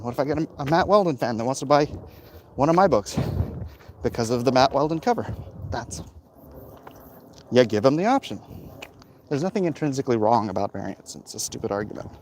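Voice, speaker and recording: A man chats casually and bluntly, rambling as he answers comments while exerting himself on a walk, clearing his throat now and then. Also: medium pitch. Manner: animated, blunt, opinionated. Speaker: livestream host answering viewer comments. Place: outdoors, walking along streets. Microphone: phone held in hand at arm's length. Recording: faint outdoor street and traffic noise.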